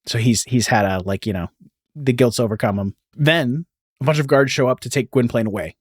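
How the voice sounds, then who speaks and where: A man's voice: mid-range voice, fairly quick, slightly rough, no echo. Guy podcast host chatting thru a story, very informal, says like a lot, dry studio sound. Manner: informal, peppered with "like" and "you know". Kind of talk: casual storytelling recap.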